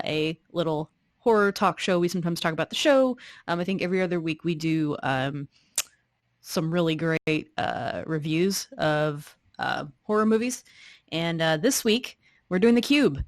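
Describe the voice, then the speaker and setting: A woman's voice: lowish voice, no echo. Casual woman co-host, close dry sound. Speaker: co-host and player. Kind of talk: casual chat, self-introduction.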